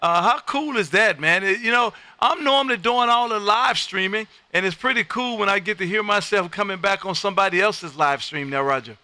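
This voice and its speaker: A man hosting casual amateur radio chat, with a loud, folksy, animated patter. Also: high-pitched, brisk, few pauses, clear, resonant tone, dry recording. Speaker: amateur radio operator and livestream host. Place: home radio room.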